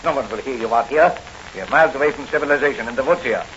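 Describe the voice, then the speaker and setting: An actor with an American accent playing a tough, commanding figure in a 1940s radio crime drama. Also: male voice, mid-range voice, rapid-fire, a bit bright, natural voice. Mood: menacing. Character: ruthless gangsters and a stern masked crimefighting hero. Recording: noisy, muffled old radio recording.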